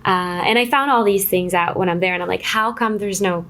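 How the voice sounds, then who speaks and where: A woman from Israel talking casually as an interview guest, telling stories in a chatty, halting way that often interrupts itself. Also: medium pitch, a bit bright. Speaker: guest, screenwriter. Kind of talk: casual conversational storytelling.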